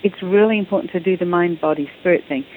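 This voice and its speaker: A woman's voice: lowish voice, fast. English woman, phone-line sound, faint hiss, eager guest, stumbles on openings. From England. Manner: enthusiastic, repeats words when starting sentences. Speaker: author and guest. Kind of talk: casual interview talk.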